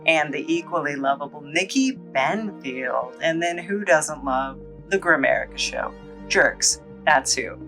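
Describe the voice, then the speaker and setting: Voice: medium pitch, lively intonation, unhurried, sharp tone. A playful, tongue-in-cheek host giving a casual review with asides. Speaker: host of a comic book review show. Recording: echoey, distant microphone, music underneath. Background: music.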